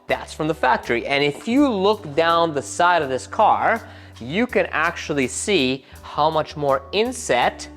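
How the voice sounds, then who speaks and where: Guy in a car shop explaining parts casually, cracks jokes, medium voice, some music low behind. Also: fairly bright tone. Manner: explanatory, casual, with jokey asides. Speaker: car tuning shop host. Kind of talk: technical car build walkthrough.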